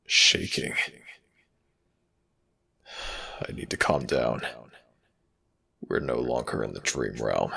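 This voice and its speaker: A man playing an ancient, protective god in an intimate ASMR audio roleplay, speaking in a halting, intense way with a gravelly, breathy voice. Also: very deep voice, sharp tone, exaggerated voice. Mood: shaken relief. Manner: halting, drawn-out, intense delivery.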